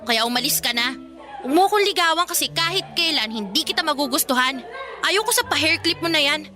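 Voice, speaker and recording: A woman's voice: sits high, expressive, fast. A woman playing a sassy, exasperated teenage schoolgirl who curtly brushes off a suitor in a radio romantic comedy drama. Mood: dismissive. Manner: curt, exasperated, dismissive. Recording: background music under dialogue.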